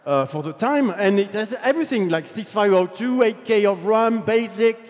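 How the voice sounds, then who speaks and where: A man with a French accent tells his story from a stage in a loose, chatty way, dotted with fillers. His voice sits high. The sound rings out with a long reverb from a large hall. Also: expressive, moderate pace, a little mellow, soft background music. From France. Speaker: game developer giving a talk. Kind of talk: conference presentation.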